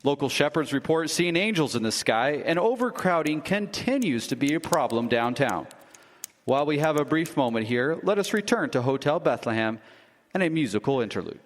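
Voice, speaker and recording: An actor playing a polished radio station announcer who introduces news bulletins in a live radio drama. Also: male voice, a little high, very animated, normal speed. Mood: announcing. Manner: polished broadcast announcer delivery. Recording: live stage recording in a hall.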